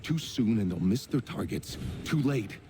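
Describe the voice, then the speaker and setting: Man acting gruff militia commander, middle-aged, gravelly low voice, mellow dark tone, sardonic, battle-worn, blunt, video game cutscene. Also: expressive, moderate pace, a little hiss or hum, lightly acted. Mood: urgent, commanding.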